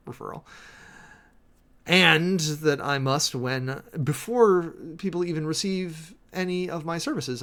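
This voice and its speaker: Male livestream host, medium pitch, highly animated. Theatrical reader and analyst, draws words out for emphasis, dryly sarcastic. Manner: theatrical, emphatic, with drawn-out words and sudden swells. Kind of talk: dramatic reading with close analytical commentary to a live chat.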